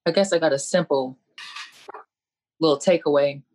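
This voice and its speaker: A woman's voice: low voice, normal speed, darkish tone, a little hiss or hum. A woman coach speaking as a panelist on a video call, earnest and preacherly, repeating her key phrases. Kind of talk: impassioned faith-based speech on a video call.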